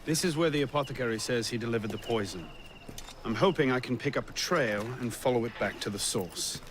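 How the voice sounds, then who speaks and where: A man's voice: medium pitch, animated, brisk, slight background noise, lightly acted. An actor playing a determined male assassin, terse and resolute, in a video game cutscene. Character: determined young male Assassin investigating a murder.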